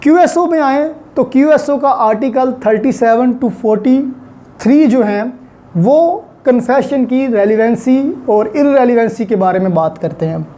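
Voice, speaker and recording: Male law lecturer, Pakistani accent, high-pitched dark-mellow voice, didactic, repeats key points for students. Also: unhurried.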